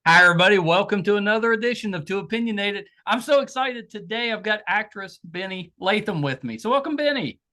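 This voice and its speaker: An older man speaks as a podcast host in a bright, forceful voice pitched a little high. His manner is effusive, and he tends to repeat phrases for emphasis. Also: animated, quick pace, hardly any pauses. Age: older, grandfather. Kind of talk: podcast host talk and interview.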